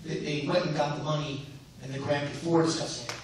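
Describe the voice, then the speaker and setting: A man's voice: fairly high pitch, steady tone, fast talker. A man who is losing his voice, answering as a candidate in a debate. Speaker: mayoral candidate. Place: synagogue hall. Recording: echoey hall from far off, some noise.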